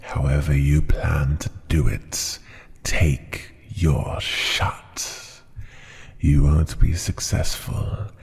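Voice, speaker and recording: Cavernous, gravelly dragon tyrant. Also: male voice, little pitch movement, darkish tone, exaggerated voice. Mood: taunting, challenging. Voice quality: very deep gravelly growl, often sinking to a breathy whisper. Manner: slow, deliberate, haughty and menacing. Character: ancient tyrant dragon ruler, proud, cruel and menacing. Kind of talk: ASMR fantasy roleplay monologue.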